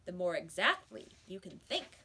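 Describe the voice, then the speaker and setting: A woman's voice: fairly low pitch, sing-song, normal speed, pushed and bright, a little hiss or hum, exaggerated voice. A woman voicing a prim, orderly female schoolteacher from a storybook, formal and instructive. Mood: brisk, instructive.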